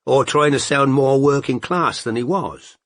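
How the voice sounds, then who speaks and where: A man voicing a gruff, terse male detective with a husky voice, asking short probing questions in an audiobook. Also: medium pitch, unhurried, fairly bright tone, no echo, close to an everyday voice. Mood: questioning. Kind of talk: audiobook character voice.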